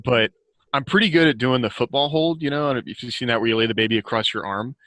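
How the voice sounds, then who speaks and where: Bright-voiced American man chatting informally. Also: mid-range voice, fast talker, no room sound. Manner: informal, chuckles often while talking. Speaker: author, podcast guest. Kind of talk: casual chat, anecdotes.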